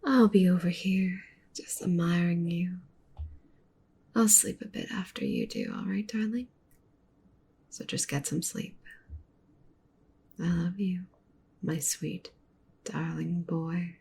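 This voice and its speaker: A woman playing a doting, possessive yandere wolf witch girlfriend in an intimate, breathy whispered ASMR roleplay. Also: very animated, slow, pauses often, warm tone, full character voice. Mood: soothing. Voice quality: breathy whisper with low creaky murmur. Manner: intimate, tender, clingy, coaxing.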